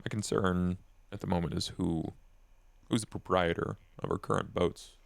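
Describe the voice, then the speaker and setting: Gravelly, formal vampire gentleman, acted by a man. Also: sits low, steady tone, dry recording. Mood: formal, cautious. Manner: formal, deliberate diction. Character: male vampire, formal, measured, cautious strategist. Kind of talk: in-character tabletop roleplay.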